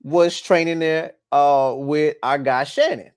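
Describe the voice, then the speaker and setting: A man hosting a podcast, joking and animated in casual chat. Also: on the high side, slow, clear, resonant tone, dry recording, clean studio sound. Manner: joking, animated, stumbles and restarts. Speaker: podcast host.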